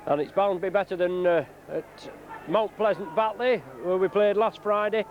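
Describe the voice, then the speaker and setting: Bloke calling rugby, bit croaky, high-ish voice, dull hissy old tape. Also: male voice. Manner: measured play-by-play with frequent fillers. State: croaky from flu. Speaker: rugby league commentator. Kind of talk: live match commentary. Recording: dull lo-fi broadcast tape with noise.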